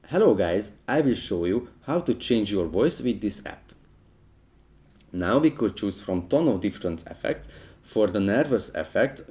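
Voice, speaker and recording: A man's voice: lowish voice, warm tone. A man hosting a how-to tutorial in scripted narration. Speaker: tutorial host.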